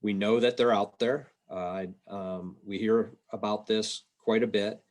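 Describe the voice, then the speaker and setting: A man giving an informal, explanatory presentation on a video call, plain-spoken and somewhat halting. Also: on the low side, fairly even intonation. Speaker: state revenue agency policy presenter. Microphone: video call microphone.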